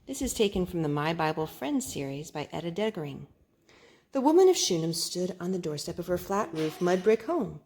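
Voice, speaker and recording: Expressive woman reading a children's story. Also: lowish voice, a little fast. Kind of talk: children's Bible story read aloud.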